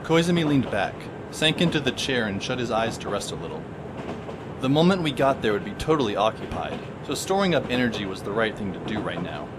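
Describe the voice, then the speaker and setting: A man narrating an audio drama as a wry, deadpan teenage boy. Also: medium pitch, a little fast, noisy background, close to an everyday voice.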